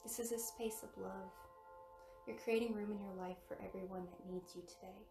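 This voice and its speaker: A woman leading a guided meditation in gentle, measured short phrases. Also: mid-range voice, steady tone. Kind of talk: guided meditation, slow and soothing. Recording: roomy, distant mic under loud music. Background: loud meditation music with singing bowls.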